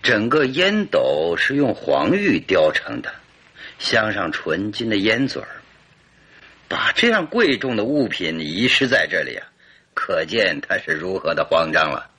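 An actor playing a keen-eyed, wry gentleman detective in a radio drama, husky and deliberate in delivery. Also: low voice, very animated, exaggerated voice. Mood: observant. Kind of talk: Chinese radio drama acting.

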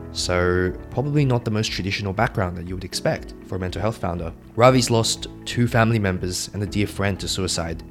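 Podcast host, male, lower pitch, upbeat and friendly, light music bed. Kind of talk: podcast interview.

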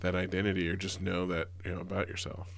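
A man's voice: sits low, monotone, fast, vocal fry, a bit bright, no room sound. A man, a teacher, talking earnestly in a rambling way full of fillers. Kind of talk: casual conversation.

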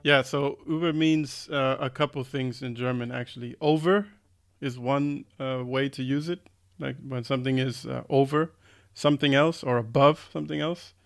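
Mid-pitched man chatting with um-filled pauses. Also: fairly even intonation, creaky. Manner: thoughtful, fillers like um, swears when riled. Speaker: podcast co-host. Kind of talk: casual chat.

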